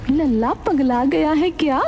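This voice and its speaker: A woman's voice: high-pitched, dark tone, in a large hall, a little hiss or hum, pitched above the performer's own voice. A woman voicing a talking old magic ladle in a cartoon fairy tale, teasing and cryptic. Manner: drawn-out, familiar, teasing. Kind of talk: Hindi cartoon story acting.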